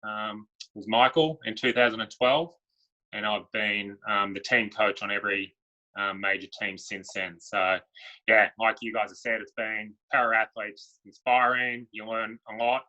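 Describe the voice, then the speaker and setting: Give me an Australian man with a fairly low voice and a sharp, very bright tone, chatting loosely with plenty of ums. The sound is dull and lo-fi, like an online call. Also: measured pace. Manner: relaxed, many um fillers. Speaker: para athletics coach. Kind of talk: casual panel Q&A.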